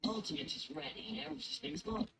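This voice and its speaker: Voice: medium pitch, sing-song, quick pace, creaky, a bit bright, a little hiss or hum, heavily acted. An actor voicing a video game system announcer, giving short, clipped, scripted alerts. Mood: announcing alerts.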